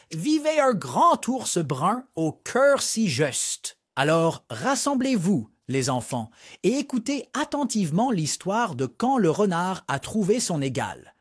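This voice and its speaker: Male children's storyteller, lively sing-song delivery, bright high register. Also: husky, no room sound, clean studio sound. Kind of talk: bedtime story narration for toddlers.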